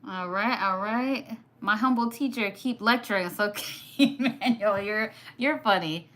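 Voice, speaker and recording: A woman from Sudan whose first language was Arabic, hosting a casual live stream, chatty and quick to laugh while reading comments. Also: mid-range voice, a bit bright. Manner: chatty, laughs often, teasing. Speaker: livestream host.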